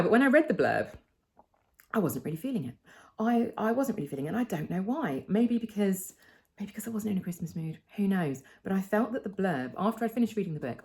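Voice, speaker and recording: A British woman chatting casually and enthusiastically as she hosts a book club review. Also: mid-range voice. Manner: enthusiastic, conversational.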